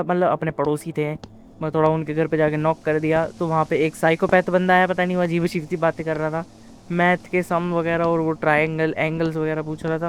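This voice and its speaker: A man's voice: sits high, a little hiss or hum. A man casually telling the story of his gameplay, colloquially, with English words mixed in. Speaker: gaming video narrator.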